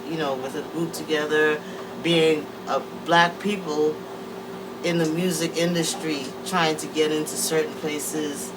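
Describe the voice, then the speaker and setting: A woman, speaking as an interview guest in easygoing, hesitant casual talk with many fillers, in a music production room. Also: low-pitched. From Trinidad and Tobago. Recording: distant mic with air-conditioning hum.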